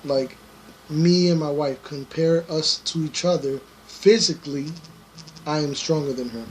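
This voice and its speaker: Hesitant young man, slightly high voice. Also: measured pace, a little hiss or hum, poor audio. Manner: hesitant, many fillers, treads carefully. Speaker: podcast co-host. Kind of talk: casual chat reacting to a video.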